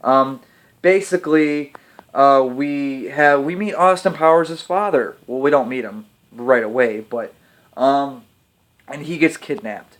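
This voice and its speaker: A man giving a casual video film review, chatty and hesitant with frequent pauses. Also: mid-range voice, a bit bright. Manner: hesitant, lots of ums and restarts, chatty. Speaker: movie reviewer.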